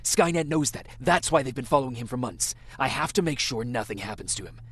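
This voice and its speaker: A male resistance soldier in a video game drama. He sounds terse, serious and determined, at an ordinary male pitch, with the authority of someone used to giving orders. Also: expressive, brisk, a bit bright, breathy edge. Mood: grave, insistent. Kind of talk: dramatic game cutscene acting.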